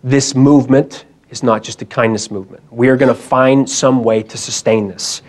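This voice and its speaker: A man's voice: mid-range voice, fairly even intonation, moderate pace, a little mellow. A young man giving an emphatic, urgent motivational talk at a conference, speaking into a handheld mic in a hall.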